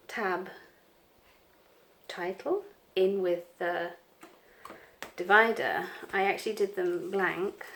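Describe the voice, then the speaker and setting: Croaky woman with a cold chatting. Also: medium pitch, a bit bright. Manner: rambling, apologetic, frequent ums. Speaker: planner vlogger. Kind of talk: casual chat over crafting. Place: home craft desk, indoors.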